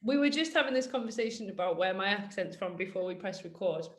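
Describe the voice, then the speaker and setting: A woman's voice: mid-range voice, expressive, fast. A British woman hosting a casual fitness podcast, chatty and joking in a self-mocking way. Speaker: podcast host, personal trainer. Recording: roomy echo.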